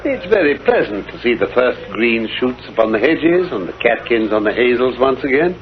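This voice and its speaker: Vintage radio drama, muffled: male actor as analytical sleuth, authoritative, precise, highly varied pitch. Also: sing-song, normal speed, natural voice. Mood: breezy. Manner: precise, clipped, authoritative deduction. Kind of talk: old-time radio drama acting. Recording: dull vintage radio recording.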